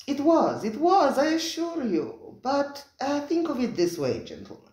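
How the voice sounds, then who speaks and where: A reader doing a theatrical restaurant owner, high and lively. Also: a little slow, heavily acted. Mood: grand, insistent. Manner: grandly emphatic, ends questions with "eh?". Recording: roomy, echoey recording.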